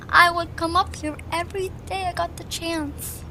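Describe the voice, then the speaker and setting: A woman playing a gentle, wistful little female cartoon creature in an amateur audio drama. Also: very high-pitched, fairly even intonation, a little slow, slight background noise, full character voice, rough recording.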